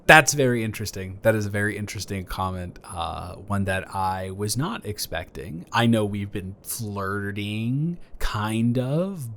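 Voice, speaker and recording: A man's voice: on the low side, fairly bright tone, full character voice. A man improvising a flirty, awkward neighbor in a romantic roleplay audio, stammering and earnest as he talks. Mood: surprised, flirty.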